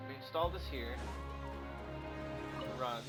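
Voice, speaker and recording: A man's voice: mid-range voice, slow. A man giving casual gaming commentary, thinking aloud and muttering to himself with some swearing. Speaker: video game streamer. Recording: music behind the voice. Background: game music.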